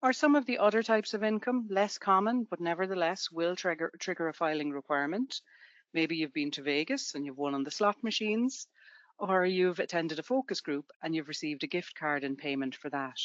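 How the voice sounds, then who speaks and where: A woman's voice: on the low side, brisk, no room sound, muffled recording. A woman from Ireland with an Irish accent, presenting a tax webinar to students in a conversational way with everyday examples.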